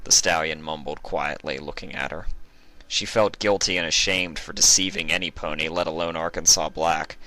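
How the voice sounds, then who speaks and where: A man's voice: very deep voice, fairly even intonation, normal speed, pushed and bright, low-quality recording. A man reading a story aloud as scripted narration. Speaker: narrator reading fan fiction. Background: instrumental guitar music.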